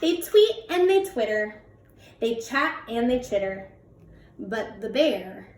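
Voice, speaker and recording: A woman reading a picture book aloud to young children in an expressive way, drawing out words and putting questions to the listeners. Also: sits high, a little hiss or hum. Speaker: children's read-aloud host. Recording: roomy echo, distant from the mic.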